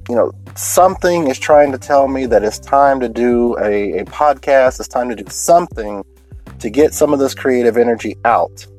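A deep-voiced man talking alone in a late-night podcast from inside a parked car, sniffling a little as he gets over a cold. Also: a little mellow, poor audio. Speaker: podcast host.

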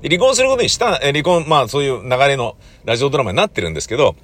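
A man's voice: medium pitch, big pitch swings, very fast, a bit bright, no room sound. A man talking casually and at length as a playwright recounting his work, in a discursive, emphatic, colloquial way. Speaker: playwright and troupe leader.

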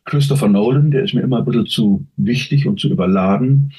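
Frank man with a husky voice. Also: mid-range voice, fast, warm tone, poor audio. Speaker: interview guest, voice actor. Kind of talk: casual podcast conversation.

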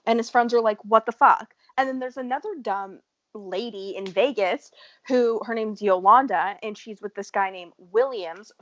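A woman's voice: medium pitch, a bit bright, no echo, highs cut off. A woman from the United States in casual, blunt and profane chat, laughing often. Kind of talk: casual chat about a reality show.

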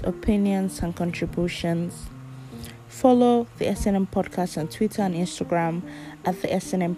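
A woman reading a calm, scripted podcast outro. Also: mid-range voice. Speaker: podcast host. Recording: faint music behind the voice.

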